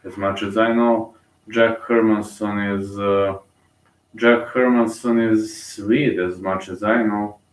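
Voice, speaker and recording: Rambling Bulgarian man, low and echoey. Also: fairly even intonation, takes it slowly, recorded from a distance. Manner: rambling, thinks aloud with many fillers and restarts. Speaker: live fight commentator. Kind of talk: casual live commentary.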